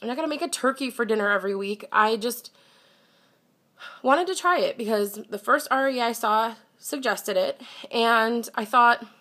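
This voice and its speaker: A woman's voice: on the high side, moderate pace, a bit bright. A young woman chatting straight into her camera, her voice a bit stuffy and congested. She talks candidly and casually, at times a touch defensive, like she is setting her viewers straight. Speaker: vlogger.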